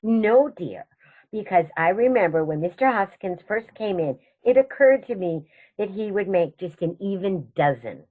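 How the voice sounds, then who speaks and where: An actress playing a genteel elderly aunt in a comedy radio play, serenely matter-of-fact and gently chiding. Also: female voice, medium pitch, lively intonation, moderate pace, phone-quality sound, natural voice. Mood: firm, unbothered. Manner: prim, gently chiding, unruffled. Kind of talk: stage comedy radio theatre acting.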